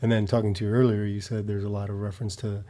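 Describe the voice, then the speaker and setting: A man interviewing casually in a studio, with a halting, rambling way of talking. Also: fairly low pitch, flat delivery, dark tone. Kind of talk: casual chat.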